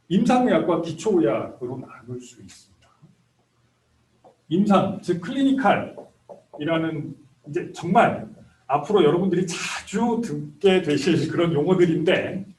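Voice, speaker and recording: Echoey, higher-pitched middle-aged male lecturer. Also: darkish tone. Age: 50s. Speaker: medical school professor. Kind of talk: introductory lecture to graduate students. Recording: echoey room, distant microphone.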